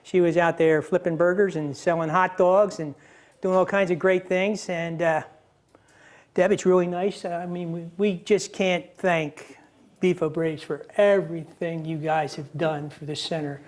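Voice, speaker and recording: A man's voice: fairly high pitch, steady tone, normal speed. A man hosting a local telethon, thanking a sponsor at length and guiding a guest through donor names, with many "uh" fillers. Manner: friendly and effusive, with frequent "uh" fillers. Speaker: telethon host. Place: television telethon studio.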